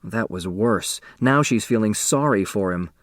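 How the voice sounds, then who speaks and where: A man reading a novel aloud as an audiobook narrator, giving expressive colour to the characters. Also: fairly low pitch, unhurried, no echo, studio quality.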